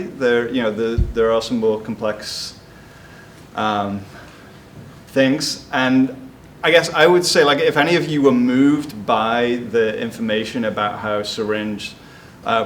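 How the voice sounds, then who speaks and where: Measured man with a civic audience comment. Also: mid-range voice, a little hiss or hum. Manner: civic, measured, with some fillers. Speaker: select board member.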